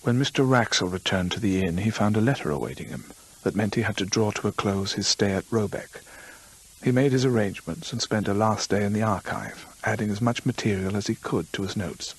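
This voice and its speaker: An actor playing a grave gentleman storyteller, narrating a radio drama in measured, literary phrases. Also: fairly low pitch, husky, rough recording. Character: measured gentleman storyteller recounting another man's ghostly fate.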